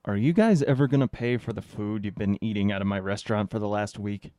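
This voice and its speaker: A man's voice: lowish voice, quick pace, warm tone, no echo, close to an everyday voice. A man playing a gullible, put-upon restaurant owner with earnest delivery in a satirical radio drama. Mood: exasperated.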